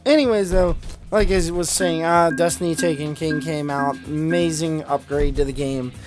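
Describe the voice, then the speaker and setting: A man's voice: fairly high pitch, fairly even intonation, normal speed. A man giving casual gameplay commentary as a video host, rambling and sweary with an enthusiastic manner and a slight speech impediment. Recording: music playing behind the voice.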